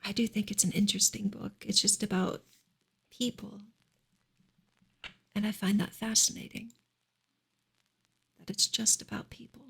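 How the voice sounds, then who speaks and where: Woman, ASMR streamer, very breathy and whispery, soft-spoken, gentle, fairly even intonation, smooth of creak. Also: mid-range voice, normal speed, long pauses, low-quality recording. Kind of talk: soft-spoken ASMR book reading and chat.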